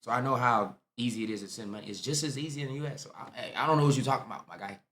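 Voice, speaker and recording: A young American man reacts to clips in easygoing, slang-heavy banter. His accent is plainly American and his voice sits at an ordinary pitch. He talks like he's hanging out with friends. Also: rapid-fire. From United States. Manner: laid-back slangy banter, laughs loudly. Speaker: reaction video host. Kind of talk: casual reaction commentary.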